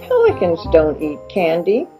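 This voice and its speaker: A woman's voice: fairly low pitch, wildly swooping pitch, unhurried. A woman voicing stern storybook elders, firm and emphatic as they admonish. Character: stern bird elders: mayor, doctor, grandpa. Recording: dull lo-fi recording with music behind.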